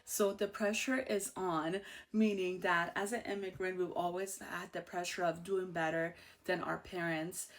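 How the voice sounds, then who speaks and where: A woman's voice: on the low side, flat delivery, unhurried. A bubbly, outgoing woman in her thirties from Iran with an Iranian accent, hosting a casual personal monologue and laughing easily. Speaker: host.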